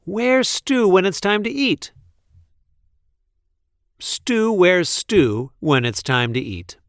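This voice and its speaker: A man reading a picture book aloud expressively, with deliberate, playful emphasis on the sentences. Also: sits high, animated, a bit bright, clear, resonant tone, no room sound, studio quality. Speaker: read-aloud storyteller.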